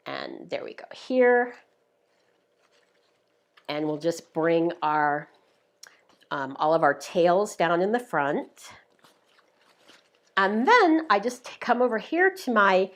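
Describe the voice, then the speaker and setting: A woman hosting a live craft tutorial, explaining each step in a chatty, upbeat way, her voice a little worn. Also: on the low side. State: slightly losing her voice. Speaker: craft demonstrator, show host.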